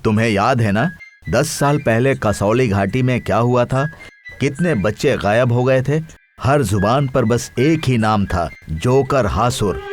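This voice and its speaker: A man's voice: medium pitch, lightly acted. A man voicing a sceptical, rational husband in a horror story, dismissive and matter-of-fact. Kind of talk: audio horror story acting.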